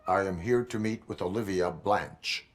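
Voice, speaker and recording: A man as a deep, stoic cyborg cop. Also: normal speed, close to an everyday voice. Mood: flat, stoic. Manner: stilted, formal, emotionless delivery. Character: cyborg police officer, male, stoic and robotic. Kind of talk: video game voice acting.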